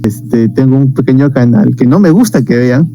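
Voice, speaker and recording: A man with a mellow, warm voice. He speaks thoughtfully and likes to explain cultural curiosities in casual conversation. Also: mid-range voice, normal speed, soft background music. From Peru. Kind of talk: casual video chat.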